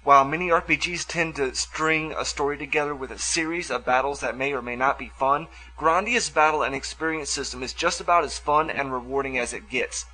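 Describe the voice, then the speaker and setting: A man's voice: fairly high pitch, a little fast, few pauses, bright, forceful tone, low-quality recording. A man hosting a review show, reading scripted commentary on video games with music behind him.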